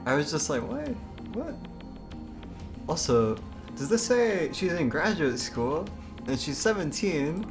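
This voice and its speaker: A man with a fairly high voice gives casual live commentary as a game streamer. He is giggly and chatty as he goes, and music plays clearly behind him. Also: sing-song, unhurried. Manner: giggly, breaks into laughter while talking.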